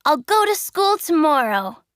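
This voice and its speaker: A woman's voice: measured pace, dry recording, clean studio sound, full character voice. A woman voicing a naughty but loving little wooden puppet boy in a storybook reading, in an eager, pleading way. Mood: tender. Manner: pleading, high and excited.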